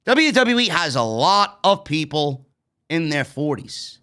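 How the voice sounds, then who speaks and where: A man in his late thirties with a New York accent, hosting a casual wrestling review show, blunt and animated, speaking into a studio microphone. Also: a little high, unhurried. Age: 30s. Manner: animated, gestures a lot, blunt and swearing. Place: streaming studio with neon bar backdrop. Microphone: broadcast mic on stand, headphones.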